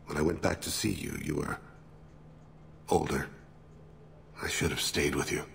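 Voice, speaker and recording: A grave, reflective alien assassin in a video game. The voice is extremely low and thick with gravel, speaking in formal, measured phrases. Clear ambient noise sits behind it. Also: male voice, steady tone, a bit bright, heavily acted. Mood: regretful. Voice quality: gravelly, very deep. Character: solemn alien reptilian assassin, a grave regretful father. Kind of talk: video game character dialogue. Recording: noticeable background ambient noise.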